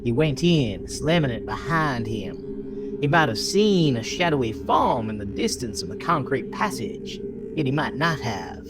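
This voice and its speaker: Guy reading a slasher horror story, really suspenseful and theatrical, slow pace, music behind him. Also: medium pitch. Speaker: narrator. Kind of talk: dramatic scripted horror story narration. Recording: music under the voice throughout. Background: prominent music bed.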